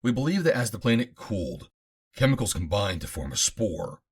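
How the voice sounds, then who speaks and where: A man's voice: fairly low pitch, very animated, normal speed, husky, full character voice. A man voicing a proud, calculating Martian scientist who creates bodies, speaking in a formal, grandiose lecture. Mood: lecturing.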